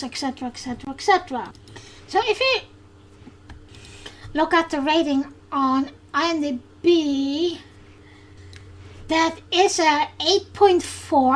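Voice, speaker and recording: A woman with a Dutch accent hosting a solo podcast recap, speaking haltingly with frequent pauses. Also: sits high. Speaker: podcast host.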